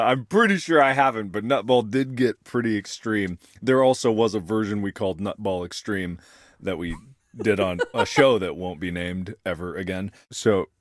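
A man co-hosting a podcast in loose, joking banter, loud and playful, dropping into low mumbles and jumping up into yelps. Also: dry recording, studio quality. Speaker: podcast co-host. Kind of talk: casual banter.

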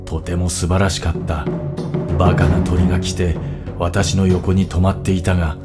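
A man playing a solemn, scheming bear warrior who narrates in a measured way in a game cutscene. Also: low-pitched, flat delivery, over loud music, natural voice. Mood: solemn, brooding. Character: calm, scheming male bear warrior, recounting his plans. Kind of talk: Japanese scripted cutscene narration.

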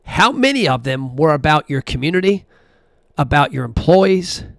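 A man's voice: fairly high pitch, very animated, normal speed, gravelly, dry recording, studio quality. A man hosting a solo podcast, talking bluntly and casually, sometimes counting numbers aloud as he scrolls. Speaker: podcast host.

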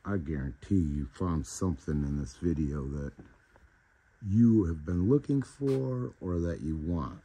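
A man's voice: rumbling low, animated, measured pace, dark tone. A man giving a casual, laid-back store tour in short remarks, recorded on a handheld camera inside a large store. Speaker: vlogger, toy store tour host. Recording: clear background store noise.

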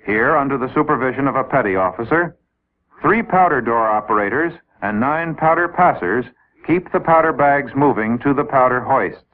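American guy doing 1950s navy training film voiceover, medium pitch, step by step explaining, old tinny radio-like sound. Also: animated, normal speed. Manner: clear, methodical, explanatory, addressing trainees directly. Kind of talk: scripted 1950s military instructional film narration.

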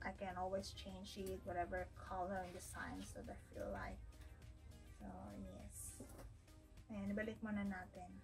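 A woman's voice: medium pitch, a little mellow, far from the mic, rough recording. A woman in her thirties with a Filipino accent, chatting casually through a haul unboxing at home and slipping Tagalog words into her English. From Philippines. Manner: chatty, mixes Tagalog into English, thinks aloud with fillers. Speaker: fashion vlogger. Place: home room with wooden drawers, indoors.

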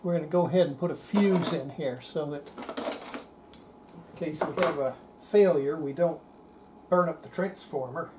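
A woman's voice: sits low, normal speed, pauses often, noisy background. An older woman giving casual hands-on narration of a repair, murmuring to herself as she works. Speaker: hobbyist restorer presenting a repair video. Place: home electronics workshop, indoors.

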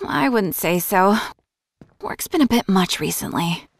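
A woman's voice: medium pitch, big pitch swings, slow pace, vocal fry, breathy edge, no echo, studio quality, exaggerated voice. A woman voicing a weary, grumbling talking gun in a video game, in a sighing, world-weary manner.

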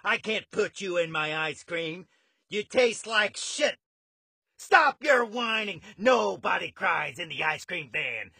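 A sinister ice cream man from a horror video game. The voice is raspy and swoops wildly between high and low. He drags out his gleeful, menacing taunts very slowly in a dry, close sound. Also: animated, bright, forceful tone, dry recording, heavily acted. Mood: angry. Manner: theatrical, menacing, sing-song taunting with swooping pitch. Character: sinister, gleeful masked ice cream man villain who cages kids. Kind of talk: video game villain voice acting.

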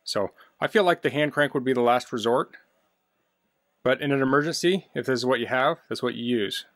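A man's voice: mid-range voice, brisk, a bit bright, no echo, studio quality. A man casually demonstrating a product in a plain-spoken, practical, explanatory way, recorded indoors. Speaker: product reviewer. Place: basement, indoors.

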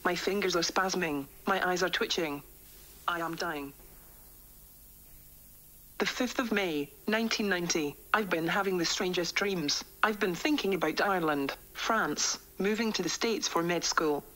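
A woman playing a doomed, infected doctor recording a somber, measured diary in an analog horror story. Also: fairly low pitch, fairly bright tone, low-quality recording. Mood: despairing, wistful.

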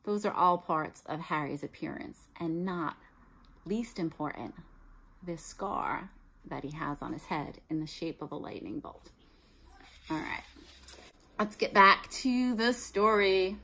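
A woman's voice: on the low side, expressive, measured pace, bright, forceful tone, poor audio. A middle-aged American woman, a teacher reading a children's story aloud expressively. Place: small room at home, indoors.

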